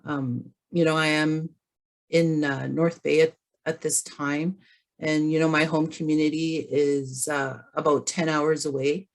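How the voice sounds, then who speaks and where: A woman from the James Bay area of northern Canada giving a webinar talk over a video call, hoarse from laryngitis, speaking carefully with many pauses. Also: sits low, flat delivery. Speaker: guest presenter. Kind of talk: webinar presentation.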